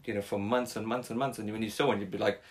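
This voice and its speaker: A man's voice: lowish voice, steady tone, fast. A young man, talking casually as a podcast guest, rambling with many fillers and restarts. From Wales. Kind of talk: casual conversation.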